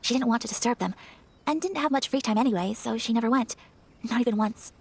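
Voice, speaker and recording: A woman playing a refined, earnest young noblewoman in a video game, speaking politely and formally in a gentle, hesitant way. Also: a little high, fast talker, vocal fry, breathy edge. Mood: wistful. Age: young adult. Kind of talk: video game character acting. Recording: faint music behind the voice.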